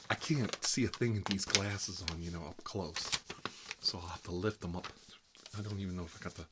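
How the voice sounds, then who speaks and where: A man's voice: deep voice, heavy background noise, natural voice. A man playing a fussy, gossipy fellow who lives with his mother, rambling and repeating himself in an ASMR comedy role-play. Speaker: ASMR channel host. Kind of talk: ASMR comedy role-play, one-sided chat with a visitor.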